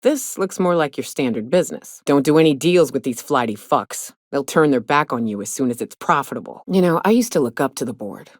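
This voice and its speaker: An actress playing a blunt, cynical frontier huntress and guide in a video game, with a wry, plainspoken, folksy way of talking. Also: female voice, rumbling low, expressive, fairly quick, hardly any pauses, dry recording, clean studio sound. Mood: wry, weary. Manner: wry, plainspoken, swearing, folksy rustic phrasing. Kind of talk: video game character dialogue.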